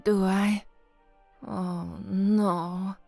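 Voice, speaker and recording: A woman's voice: medium pitch, level tone, extremely slow, creaky, mellow, soft background music. An actress playing a young, anxious woman who works as a radio newsreader in an audio drama. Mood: rough, affectionate. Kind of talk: audio drama dialogue, narration and formal newsreading.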